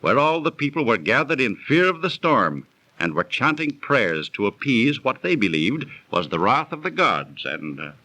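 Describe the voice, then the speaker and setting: An actor in a 1930s radio drama playing a well-travelled, genteel storyteller recounting an old legend theatrically. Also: male voice, mid-range voice, sing-song, normal speed, pushed and bright, rough recording. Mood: absorbed, dramatic.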